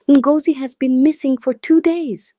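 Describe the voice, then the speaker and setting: A woman's voice: high-pitched, fairly even intonation, a little slow, warm tone, dry recording, clean studio sound, voice pushed up. A woman voicing a distraught Nigerian mother who sells corn on the street, wailing and pleading, in a dramatic storybook tale. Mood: crying, pleading.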